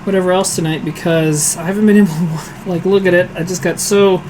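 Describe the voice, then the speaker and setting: A hoarse man hosting a casual cooking livestream from his home kitchen, chatty and self-deprecating, answering viewers aloud as he works. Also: high voice, fairly even intonation, brisk, background noise. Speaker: streaming cooking show host.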